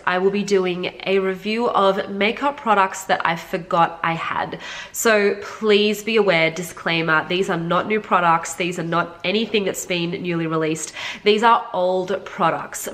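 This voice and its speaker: A woman's voice: medium pitch, moderate pace, fairly bright tone. A woman with a congested, slightly nasal voice from being sick, giving a casual, chatty beauty review straight to her viewers. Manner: informal, frequent "like", addressing viewers directly. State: sick with a cold. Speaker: beauty vlogger reviewing makeup.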